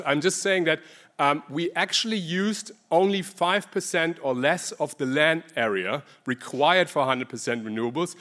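Man, German accent, slightly higher voice, halting delivery, frequent ums, presentation talk. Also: a bit bright. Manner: hesitant, many ums and restarts. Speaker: energy researcher presenting. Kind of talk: conference talk.

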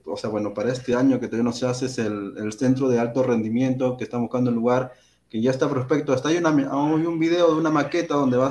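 A Peruvian man co-hosting a football podcast, giving animated opinions with many fillers. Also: mid-range voice, slight background noise. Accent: Peruvian Spanish. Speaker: podcast co-host. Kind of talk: casual football talk.

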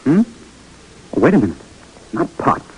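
An actor playing an earnest, struggling young husband with big ambitions, in dramatic 1940s radio style. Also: male voice, mid-range voice, wildly swooping pitch, moderate pace, a little mellow, breathy edge, full character voice, poor audio. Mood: earnest, eager.

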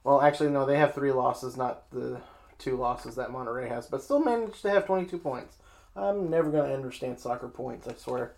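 A man's voice: mid-range voice, fairly quick, gravelly, darkish tone. A man hosting a casual sports show, reading out league standings and joking at his own expense. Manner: joking, self-mocking, reading scores.

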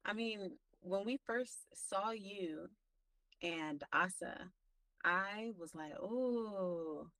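A woman's voice: very slow, bright, forceful tone, no room sound, recorded from a distance, low-quality recording. A woman co-hosting a podcast in casual chat, enthusiastic and acting out her own quotes. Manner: enthusiastic, acts out quotes with big pitch jumps. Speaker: podcast co-host.

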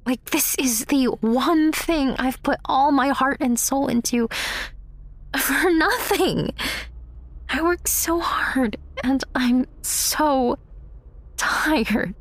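A woman's voice: sits high, very slow, no room sound, heavily acted. A woman playing a struggling young aspiring actress in an audio drama, theatrical, prickly and sardonic. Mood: dejected, weary. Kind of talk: naturalistic audio drama dialogue.

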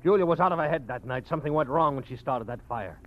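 An actor playing a cold, cynical man who gives orders to gangster muscle, smooth-talking in 1940s radio style. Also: medium pitch, lively intonation, quick pace, dry recording, lightly acted. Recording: dull lo-fi old radio recording.

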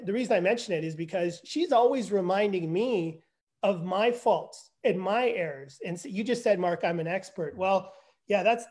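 A man in his fifties with a notably high speaking voice, chatting on a video call. He talks loosely and self-deprecatingly as a guest explaining his evaluation work. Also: darkish tone. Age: 50s. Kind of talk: casual conversation.

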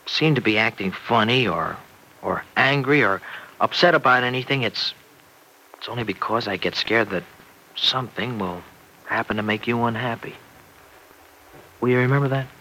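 An actor playing an earnest, anxious young farmer husband in an old-time radio drama, with a halting, tender way of talking. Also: male voice, on the low side, sharp tone. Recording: noisy vintage radio recording.

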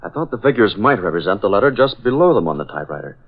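An American actor playing a decisive boss and secret masked crime-fighter, crisp, deliberate and melodramatic in 1940s radio style. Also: male voice, fairly low pitch, very animated. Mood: puzzling, thoughtful. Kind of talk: 1940s radio drama acting. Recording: dull, band-limited old radio recording.

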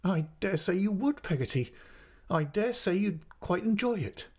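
A man's voice: on the high side, fairly quick, mellow, voice pushed up, close to an everyday voice. A man voicing a petulant, self-pitying young mother in a storybook reading, with plaintive, dramatic protests. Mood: tearful indignation.

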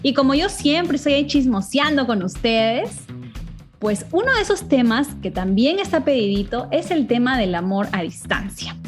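A woman in her thirties from Peru, hosting a chatty, gossipy podcast conversation. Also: on the high side. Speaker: podcast host. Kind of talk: casual chat.

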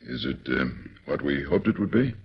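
A man's voice: a bass voice, lively intonation, normal speed, creaky, breathy edge, exaggerated voice. An actor playing a formal male official in a 1940s radio drama, exclaiming and skeptical. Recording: dull old radio recording.

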